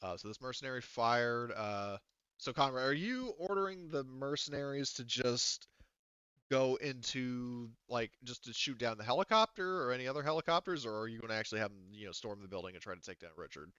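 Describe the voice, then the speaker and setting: A man's voice: medium pitch, animated, fairly quick, pushed and bright, clear, resonant tone, no echo, lo-fi sound. A man working as game master, narrating a tabletop roleplaying game in a casual, informal way with some swearing.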